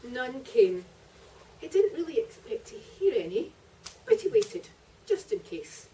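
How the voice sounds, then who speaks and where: A woman's voice: high-pitched, big pitch swings, measured pace, mellow, a little hiss or hum. A woman author reading narrative prose from her own book at a live event, picked up by a distant microphone. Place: live festival reading in a library venue.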